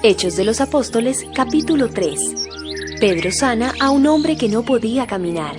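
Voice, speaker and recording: A woman's voice: a little high, animated, moderate pace, over loud music. A woman announcer reading scripted chapter titles and headings for a Bible audio production.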